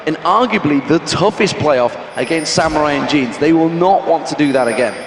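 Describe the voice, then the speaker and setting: A man doing brisk esports play-by-play commentary on a headset at a packed convention hall. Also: fairly high pitch. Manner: brisk, excitable hype. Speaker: esports caster. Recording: echoey arena with crowd noise.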